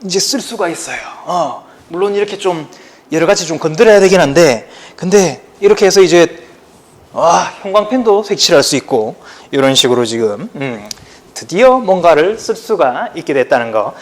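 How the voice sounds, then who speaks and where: A man's voice: fairly high pitch, lively intonation, moderate pace. Chatty Daegu-accented male teacher. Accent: Gyeongsang (Daegu) Korean. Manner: chatty, informal, talks to students directly. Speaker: high school history teacher. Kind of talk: casual online lecture.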